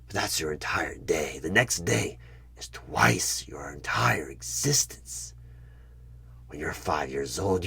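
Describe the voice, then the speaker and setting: Evil tempter, extremely low pitch, very husky and breathy, menacing, smug, horror ASMR roleplay, dramatic pauses. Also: male voice, a bit bright, full character voice. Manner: slow, deliberate, dramatic pauses, often dropping into whispers.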